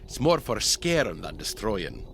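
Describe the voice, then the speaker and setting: Folksy airship captain, swooping delivery. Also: male voice, lowish voice, wildly swooping pitch, a little slow, gravelly, fairly bright tone, slight background noise, heavily acted. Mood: matter-of-fact. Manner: archaic sailor-like phrasing, matter-of-fact. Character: gruff, folksy male zeppelin captain. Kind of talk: audio drama dialogue.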